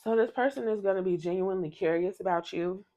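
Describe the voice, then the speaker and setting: A woman's voice: medium pitch, fast, mellow, rough recording. A woman doing a chatty tarot reading to camera, rambling with restarts while sick and losing her voice. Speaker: tarot reader.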